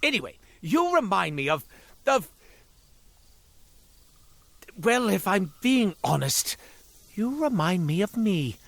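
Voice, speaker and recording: A sardonic, put-upon game shopkeeper with a thieving past. His voice sits fairly high and leaps all over its range. He rambles with theatrical exasperation, recorded close and dry. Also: sing-song, takes it slowly, vocal fry, long pauses, a bit bright, no echo, heavily acted. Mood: wistful boasting. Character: beleaguered, sardonic, cursed former thief turned quartermaster shopkeeper. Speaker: video game shopkeeper character voice. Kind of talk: theatrical scripted character dialogue.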